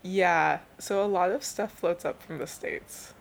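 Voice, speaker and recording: A woman's voice: on the low side, measured pace, vocal fry, slight background noise. A young woman from Canada, explaining her research in an informal, thoughtful interview style. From Canada, born in Belgium to Nigerian parents. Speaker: interviewed thesis student.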